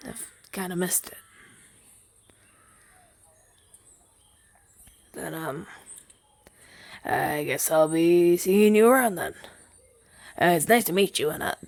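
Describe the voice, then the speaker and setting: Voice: mid-range voice, a little slow, gravelly, long pauses, no echo, studio quality. A man voicing a shy, earnest crow familiar in an ASMR roleplay, hesitant and rambling, with stammers. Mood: bashful, grateful.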